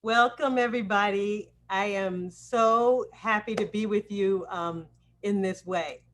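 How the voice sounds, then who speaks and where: A woman giving a welcome address as host on a live video call, with deliberate, emphatic phrasing. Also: medium pitch, a bit bright. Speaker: acting coach hosting a student presentation.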